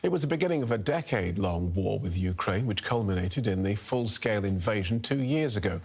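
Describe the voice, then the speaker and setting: Male news anchor, husky and kinda low, formal news read. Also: expressive. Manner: measured, formal delivery. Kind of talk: scripted news reading.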